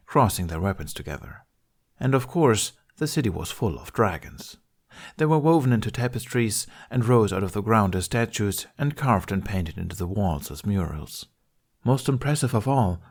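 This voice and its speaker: A man's voice: fairly low pitch, a little mellow. A man reading a fantasy story aloud as a scripted audiobook-style narration. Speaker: podfic narrator reading fan fiction.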